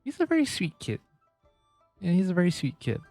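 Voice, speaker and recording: A man's voice: high-pitched, wildly swooping pitch, fairly quick, a little mellow. A man doing casual game playthrough commentary as a streamer, musing aloud and reacting as he plays. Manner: musing, reactive, repeats and echoes game lines. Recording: faint game music behind.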